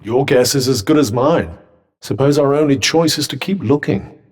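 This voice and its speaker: A man's voice: medium pitch, moderate pace, breathy edge, long reverb tail, close to an everyday voice. A man with a British accent playing a sardonic, pompous magical talking vambrace in a video game, speaking in formal, wordy phrases with dry wit. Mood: dry, urging. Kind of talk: video game character dialogue.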